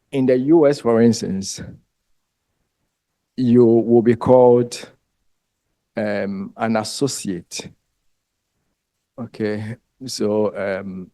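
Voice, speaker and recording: Ghanaian man as a lecturer, slow and dry-sounding, chatty with filler pauses. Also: on the low side, dark tone, dry recording. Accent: Ghanaian English. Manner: unhurried, conversational, trails off with ums. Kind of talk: lecture to exam candidates.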